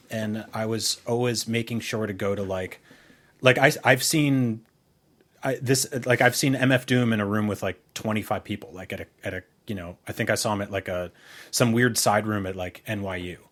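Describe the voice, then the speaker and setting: A man's voice: lowish voice, fairly quick. A middle-aged American man from New Jersey co-hosting a podcast, telling rambling, casual anecdotes with the odd swear. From New Jersey, United States. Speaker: podcast co-host. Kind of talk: casual conversational podcast chat.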